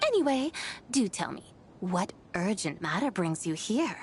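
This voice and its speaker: A woman's voice: medium pitch, sing-song, measured pace, slight background noise. A woman playing a haughty, sly, ancient female horse spirit in a fantasy game, with theatrical, archaic, teasing formal speech. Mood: aloof, teasing. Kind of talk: fantasy game cutscene acting. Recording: music behind the voice.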